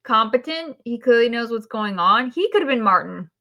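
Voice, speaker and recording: Woman on a review podcast, blunt and opinionated, highish really bright forceful voice. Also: lively intonation, normal speed, dull audio. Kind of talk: casual review discussion.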